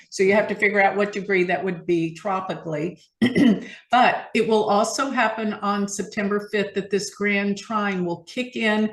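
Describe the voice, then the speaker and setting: A woman explaining astrology as an interview guest, emphatic and enthusiastic, often posing questions and answering them herself. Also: mid-range voice. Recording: roomy, echoing sound.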